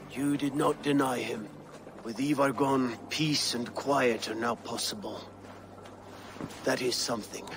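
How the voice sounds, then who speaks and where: An actor playing a solemn, grieving Viking chieftain in a game cutscene, grave and measured. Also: male voice, medium pitch, gravelly, noisy background. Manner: grave, measured, dropping to a hush. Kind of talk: video game cutscene acting. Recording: music under dialogue.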